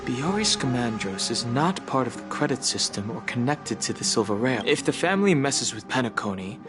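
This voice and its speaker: Man acting young analytical skeptic, mid pitch, serious, reserved, game story dialogue, faint music. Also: very animated, fairly quick, close to an everyday voice. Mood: skeptical, serious. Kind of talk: English video game voice acting.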